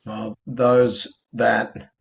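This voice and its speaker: A man co-hosting a conversation, speaking in a calm, measured and earnest way. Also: medium pitch, gravelly. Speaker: co-host of a live conversation show. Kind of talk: reflective conversational talk.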